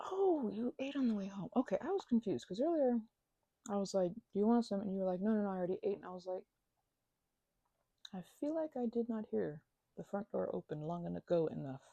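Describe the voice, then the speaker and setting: A woman acting as a young female housemate in a cozy ASMR roleplay, talking casually in a very lively, swooping voice. She rambles, stumbles over her words and turns playful or bashful. The sound is dry and close, with a slightly husky edge and a mellow tone. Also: mid-range voice, no room sound, dull audio, full character voice. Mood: amused. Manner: rambling, halting, stammering, giggly asides. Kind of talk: ASMR roleplay, casual one-sided conversation.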